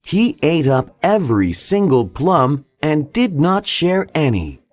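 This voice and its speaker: Male children's storybook narrator: expressive, highly animated, slow and deliberate. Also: dry recording. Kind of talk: scripted children's read-along narration.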